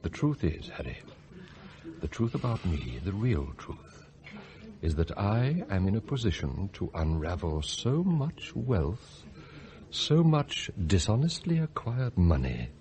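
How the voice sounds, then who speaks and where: An actor playing a sardonic, scheming English butler in a radio drama, formal and articulate with theatrical flourishes. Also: male voice, low voice, big pitch swings, slow, crowd noise, full character voice. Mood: bitter, fervent. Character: eloquent, scheming male butler, sardonic and idealistic.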